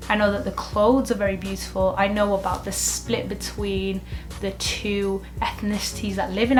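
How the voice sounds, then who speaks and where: Chatty young woman vlogging. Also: medium pitch. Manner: chatty and expressive, with filler likes. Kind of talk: casual vlog chat.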